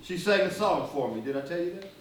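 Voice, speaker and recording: Guy playing a weary earnest activist leader in a stage play, measured pauses, far from the mic w some noise. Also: high-pitched, lively intonation. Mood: teasing, nostalgic. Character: Malcolm X, earnest, teasing, weary male civil rights leader. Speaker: stage actor. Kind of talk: live stage play acting. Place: indoor stage performance, distant microphone. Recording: echoey hall from far off, noisy.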